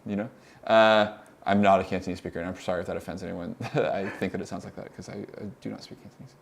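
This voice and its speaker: A young man with a Californian accent, casually demonstrating audio software on a live stream, rambling excitedly with lots of "like" and laughs. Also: sits low, quick pace, vocal fry. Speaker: audio mentor.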